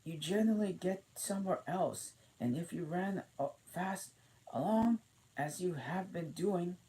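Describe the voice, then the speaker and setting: A man voicing a polite, puzzled young girl in a storybook reading, speaking gently in a raised voice. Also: high voice, lively intonation, unhurried, slight background noise, pitched above the performer's own voice, low-quality recording.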